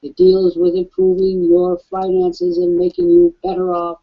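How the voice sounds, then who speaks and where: A raspy-voiced man hosting a live webcast and pitching an offer, emphatic like a salesman. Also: sing-song, moderate pace. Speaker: webcast host. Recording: dull lo-fi webcast audio.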